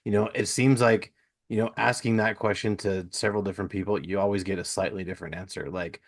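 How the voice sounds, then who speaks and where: A man's voice: on the low side, fairly even intonation, fast. A man hosting a casual interview, rambling a little and hesitant, with an apologetic way of talking. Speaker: podcast host, interviewer.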